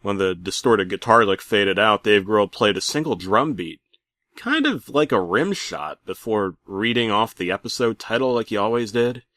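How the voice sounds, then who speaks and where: A man playing a bitter middle-aged working dad who narrates a comic horror story with theatrical, aggrieved deadpan. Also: fairly low pitch, normal speed, no room sound, clean studio sound. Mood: deadpan storytelling. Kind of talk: comedic creepypasta narration.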